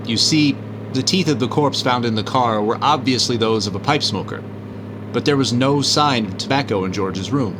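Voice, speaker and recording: Man acting a measured, confident gentleman detective. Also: mid-range voice, noisy background, natural voice. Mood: assured, explanatory. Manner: measured, assured, explanatory. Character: calm, confident gentleman amateur detective explaining. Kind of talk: 1950s radio drama acting.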